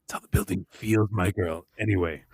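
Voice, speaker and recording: A man's voice: sits low, steady tone, a little fast, a little mellow, no room sound, clean studio sound. A man playing a tabletop game in character, talking in a pompous, lecturing, theatrical way.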